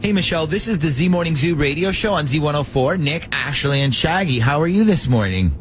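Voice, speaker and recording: A man's voice: on the high side, animated, brisk, slight background noise. A man hosting a morning radio show, chatting with a caller and steering the call. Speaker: radio host. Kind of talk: morning radio phone segment.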